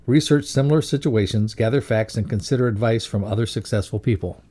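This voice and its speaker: A man reading scripted educational narration in a measured, instructive way. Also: lowish voice, warm tone. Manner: measured, instructive read-aloud delivery. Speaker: reader of a values lesson.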